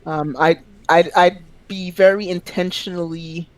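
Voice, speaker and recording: Woman, casual podcast chat, lively, laughs often, dry close sound. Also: lowish voice, measured pace, dry recording. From Quebec. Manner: animated, laughing, acts out quotes.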